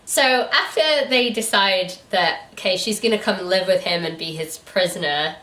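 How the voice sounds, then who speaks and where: A woman's voice: mid-range voice, moderate pace, a bit bright. A British woman chatting casually and informally as a book reviewer and host. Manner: giggly, informal. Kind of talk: casual chat. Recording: echoey room, distant mic.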